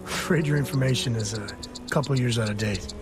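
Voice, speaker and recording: An actor playing a wry, world-weary space cowboy in a video game, laconic and folksy. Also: male voice, medium pitch, a little fast, music in the background, lightly acted. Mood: dry, resigned.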